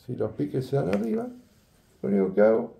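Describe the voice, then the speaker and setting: Gravelly Argentine older man teaching. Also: medium pitch, very animated, brisk, dark tone, reverberant, a little hiss or hum. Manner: chatty, checks in with "¿eh?" and "¿ves?". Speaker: fishing instructor. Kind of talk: hands-on tutorial demonstration. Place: indoor workroom at a table.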